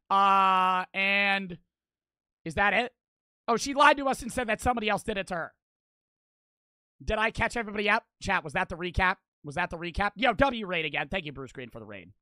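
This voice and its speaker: A man in his twenties streaming a game on a headset mic, excitable and swearing, with his voice cracking from wear. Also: high voice, normal speed, dry recording. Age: 20s. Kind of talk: livestream gaming commentary. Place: small bedroom streaming setup. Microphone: headset mic on boom arm.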